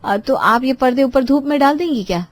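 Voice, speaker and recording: A woman's voice: high-pitched, lively intonation, fairly quick, no echo, pitched above the performer's own voice. A woman storyteller voicing a polite, obliging young daughter-in-law in a family story. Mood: cheerful. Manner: deferential, obliging.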